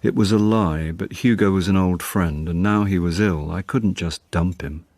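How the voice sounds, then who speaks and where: An actor playing a rueful first-person narrator in a radio drama, confiding and understated. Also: male voice, low voice, normal speed, a little mellow.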